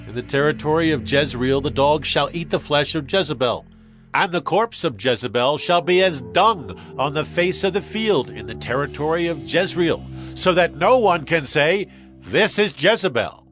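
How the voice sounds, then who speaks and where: A man's voice: fairly high pitch, expressive, normal speed, fairly bright tone. Commanding warrior acted by a man, music behind. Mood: solemn pronouncing. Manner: commanding, declamatory. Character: fierce male army commander seizing a kingdom. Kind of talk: dramatized scripture acting.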